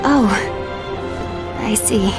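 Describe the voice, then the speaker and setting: A woman playing a composed, dutiful disciple in a video game, speaking in a measured, formal way. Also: fairly high pitch, over loud music. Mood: uneasy. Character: dutiful, composed female disciple, earnest and reserved. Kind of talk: video game cutscene acting.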